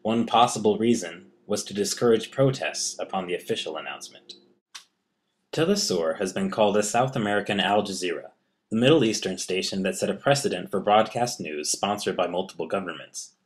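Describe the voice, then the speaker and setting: A man with a fairly low voice reading scripted narration. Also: brisk.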